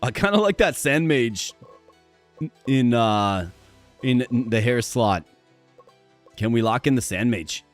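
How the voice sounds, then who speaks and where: A man's voice: medium pitch, animated, slow pace, pauses often, faint music behind. A man streaming a game, talking casually and upbeat to his viewers, with a strained, worn voice and an occasional stutter. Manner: upbeat, chatty, addresses viewers directly; stutters sometimes, repeats words. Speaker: gaming streamer. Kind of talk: casual live-stream commentary.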